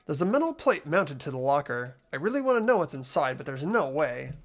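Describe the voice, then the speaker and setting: Amateur acting a hesitant schoolboy, earnest, lively intonation, thinking aloud, visual novel game, faint music behind. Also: mid-range voice, fairly quick. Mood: puzzled. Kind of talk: visual novel voice-over reading.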